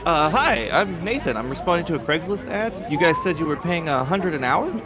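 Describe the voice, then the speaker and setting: An actor plays a curious male newcomer applying for a job in an audio drama. His voice is high for a man and swings in pitch. He sounds tentative and questioning. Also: very animated, a little fast. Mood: polite, curious.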